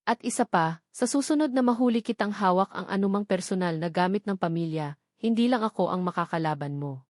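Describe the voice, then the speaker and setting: A woman's voice: medium pitch, fairly quick, dry recording, clean studio sound, close to an everyday voice. A woman acting a haughty, threatening executive assistant. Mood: cold threat. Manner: stern, scolding, commanding.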